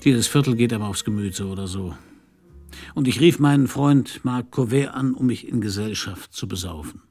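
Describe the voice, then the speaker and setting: An actor playing a brooding, hard-drinking detective with a gravelly voice and a dry, sardonic manner in a noir radio drama. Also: male voice, mid-range voice, moderate pace, a little mellow, soft background music, natural voice. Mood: gloomy.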